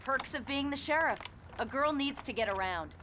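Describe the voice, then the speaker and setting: High-voiced American woman a brisk sheriff. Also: animated, pushed and bright, a little hiss or hum. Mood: wry, relaxed. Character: brisk, capable female small-town sheriff. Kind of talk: video game dramatic acting. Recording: game music behind the voice.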